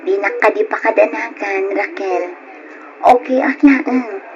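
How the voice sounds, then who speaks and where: A woman's voice: medium pitch, unhurried, darkish tone, muffled recording, a little hiss or hum, lightly acted. A woman playing a caring elder sister in a radio drama, earnest and emotional as she pleads and consoles. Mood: subdued, consoling. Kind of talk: Ilocano radio drama acting.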